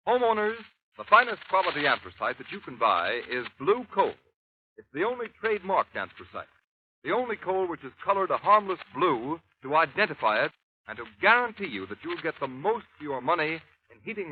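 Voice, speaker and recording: A man announcing a 1930s radio commercial with an emphatic sales delivery. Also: a little high. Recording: hissy, narrow-band 1930s radio recording.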